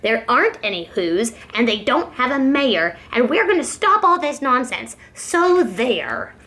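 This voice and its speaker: A woman's voice: on the high side, sing-song, a little slow, full character voice. A gang of rude monkeys jeers with scorn, as a woman reading aloud plays them. They sound mocking and dismissive. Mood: scornful. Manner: scornful, shouting. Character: three rude shouting male monkeys.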